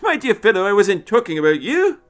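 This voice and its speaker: A man voicing a fussy, scornful old time traveller, excitable and chiding, with hesitant little interjections, in an audio story. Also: high voice, fairly quick, faint music behind, voice pushed up. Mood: scornful, excited.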